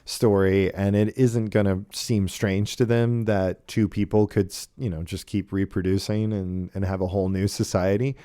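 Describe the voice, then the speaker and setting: A man with a low, mellow voice hosts a casual podcast discussion. He thinks aloud, hesitating and tagging his phrases with little check-ins. The sound is close and dry. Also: level tone, moderate pace, hardly any pauses, clean tone, no echo. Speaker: podcast host. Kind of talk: casual podcast discussion of old radio shows.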